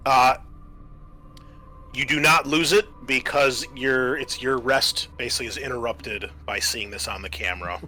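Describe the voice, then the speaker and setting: A man running a tabletop game as game master, describing scenes in a halting, improvised way with many pauses. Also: mid-range voice, sharp tone, a little hiss or hum.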